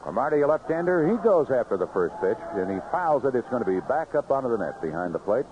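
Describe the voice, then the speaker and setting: An American man calling baseball play-by-play live on the radio in clipped phrases, in an animated manner. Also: medium pitch, fast. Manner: animated calls rising sharply on big plays, clipped phrases. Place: ballpark broadcast booth. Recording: noisy, muffled vintage AM radio broadcast.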